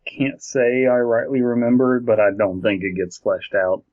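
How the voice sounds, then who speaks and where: A man co-hosting a podcast, swearing and ranting fast and exasperated about a story. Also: medium pitch, a little mellow, no echo, dull audio. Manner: profane, rapid exasperated rants. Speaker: podcast co-host. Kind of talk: casual comedic chat.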